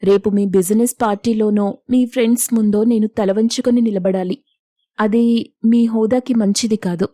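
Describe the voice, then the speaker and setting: A woman's voice: medium pitch, steady tone, moderate pace, warm tone, no room sound, clean studio sound, close to an everyday voice. A woman playing a humble, self-sacrificing young village elder sister in a moral story, earnest and pleading. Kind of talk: Telugu moral story dialogue.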